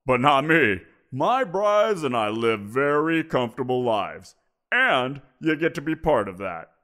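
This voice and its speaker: A man's voice: medium pitch, very animated, sharp tone. A man playing a smug teasing giant creature. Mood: coaxing. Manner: playful, teasing, drawn-out. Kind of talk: audio roleplay.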